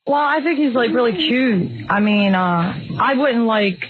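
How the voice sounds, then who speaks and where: A woman's voice: fairly high pitch, lively intonation, measured pace. A woman, chatting casually on the radio in a brash, slangy, plain-spoken way. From Philadelphia, United States. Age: 30s. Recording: tinny, noisy, phone-like radio rip.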